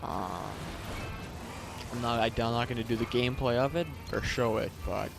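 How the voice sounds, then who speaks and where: Male game commentator, slangy and unscripted, fry-heavy voice, rambling delivery, blaring game soundtrack underneath. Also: medium pitch, moderate pace. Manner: rambling, slangy, laid-back. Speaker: video game reviewer. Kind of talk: casual unscripted gameplay commentary. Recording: loud game music and vehicle noise behind. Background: game music and vehicles.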